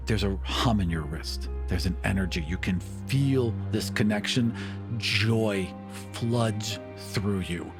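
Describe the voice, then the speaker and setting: A man narrating a tabletop game as game master, with emphatic, vivid and deliberate storytelling. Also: on the low side. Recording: music bed behind the voice.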